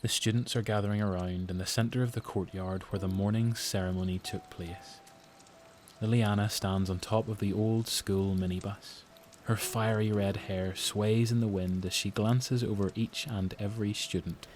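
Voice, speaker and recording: A man's voice: lowish voice, steady tone, moderate pace, a little mellow, soft background music. A man with a Northern Irish accent reading scripted narration for an audio drama.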